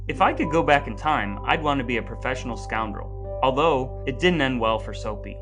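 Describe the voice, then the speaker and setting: A man's voice: medium pitch, expressive, a little fast, over music. Dry, pun-loving male podcast co-host. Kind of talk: casual chat with scripted segments.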